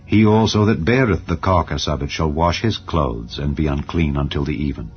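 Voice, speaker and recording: Deep-voiced man solemnly reading scripture. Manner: solemn, measured recitation. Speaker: Bible reader. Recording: dull audio under soft music. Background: faint background music.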